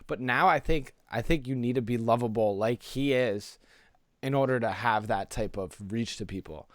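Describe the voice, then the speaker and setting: A man's voice: mid-range voice, normal speed, no echo. Casual man chatting, close dry sound. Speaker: podcast co-host. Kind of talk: casual pop culture podcast chat.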